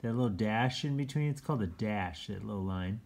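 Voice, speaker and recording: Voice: mid-range voice, brisk, darkish tone. A person reading a children's picture book aloud in an informal, chatty way, adding asides and explaining words simply to young listeners.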